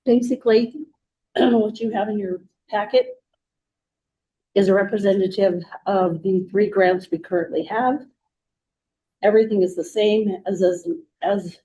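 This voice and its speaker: A woman with a hoarse, froggy throat giving a plain-spoken financial report at a meeting. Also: mid-range voice, mellow. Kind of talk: meeting presentation. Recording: dull lo-fi recording.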